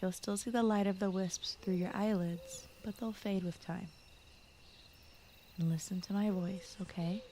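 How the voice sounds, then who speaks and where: A woman voicing a gentle, shy fox spirit who guards a forest, speaking softly and often in whispers in a soothing bedtime ASMR roleplay style. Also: medium pitch, steady tone, measured pace, a little hiss or hum, lightly acted. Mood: concerned, soothing. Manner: soft-spoken, often slipping into whispers, rambling, apologetic. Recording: clear night cricket and forest ambience. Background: crickets, insects, night forest ambience.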